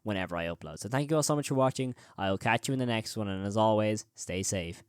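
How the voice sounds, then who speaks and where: A man's voice: lowish voice, quick pace, a little hiss or hum. A man presenting a scripted video essay as the host of a horror review channel.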